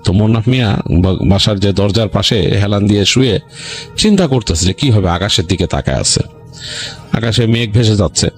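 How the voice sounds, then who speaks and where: A man with a Bangladeshi accent hosting a story show, narrating in an unscripted, halting, conversational way and often rephrasing himself. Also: on the low side, expressive. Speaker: horror story show host. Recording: music bed under voice. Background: background music.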